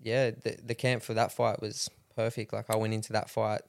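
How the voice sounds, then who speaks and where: A man's voice: lowish voice, flat delivery, moderate pace, vocal fry, no echo, clean studio sound. A man speaking as a podcast guest in casual chat, laid-back and easygoing. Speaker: guest, MMA fighter.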